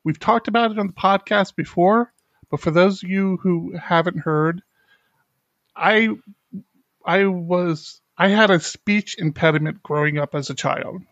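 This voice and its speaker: A man's voice: high-pitched, fairly bright tone, no echo, muffled recording. Middle-aged man with a slight speech impediment. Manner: candid, halting with restarts and laughs. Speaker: podcast host. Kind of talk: casual chat.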